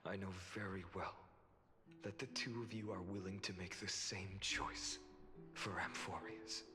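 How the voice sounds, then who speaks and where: An actor voicing an earnest young male leader in a video game, speaking in a sincere, measured way. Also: sits low, steady tone. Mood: heartfelt. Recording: game audio with music and reverb.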